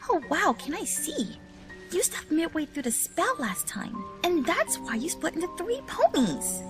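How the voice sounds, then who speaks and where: A woman voicing a frightened young female cartoon pony in a dramatic story, shrill and tearful, with halting emotional delivery. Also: big pitch swings, music in the background, full character voice. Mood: earnest. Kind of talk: dramatic fan fiction audio reading.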